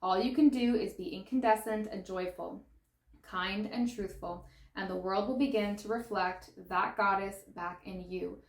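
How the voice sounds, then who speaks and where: A woman gives a tarot reading with a mid-pitched voice, bubbling over with excitement and exclamations. Her voice is wearing out and sounds strained in places. The room around her gives the sound a noticeable echo. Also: normal speed. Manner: excitable and gushing, exclaiming and laughing. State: losing her voice. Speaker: tarot reader. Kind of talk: pick-a-pile tarot reading.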